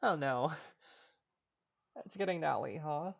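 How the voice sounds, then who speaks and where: A woman's voice: sits low, slow, vocal fry, dull audio. A woman giving casual, rambling, self-mocking livestream commentary on a game. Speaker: game streamer. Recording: loud game music behind.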